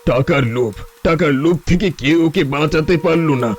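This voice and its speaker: A man's voice: fairly high pitch, a little fast, a little hiss or hum, natural voice. A man voicing a weak, betrayed older holy man in a cartoon, speaking in a tired, pleading, sorrowful way. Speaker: voice actor. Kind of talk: cartoon voice acting.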